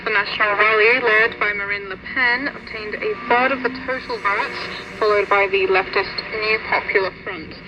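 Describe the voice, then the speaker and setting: A woman's voice: mid-range voice, steady tone, normal speed, muffled recording. A woman presenting the news from a script on a shortwave radio broadcast. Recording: noisy shortwave radio reception.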